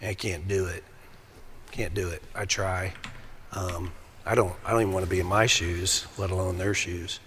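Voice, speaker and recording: Raspy-voiced male police sergeant, bright edgy tone, halting delivery, faint noise behind. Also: low-pitched, fairly even intonation. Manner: halting, many ums and restarts. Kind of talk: emotional interview answers.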